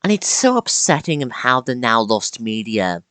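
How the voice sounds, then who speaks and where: Video-essay narrator, mid-pitched, lively swings in pitch, dramatic emphasis, casual asides, close dry recording. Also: moderate pace, clean tone, no room sound.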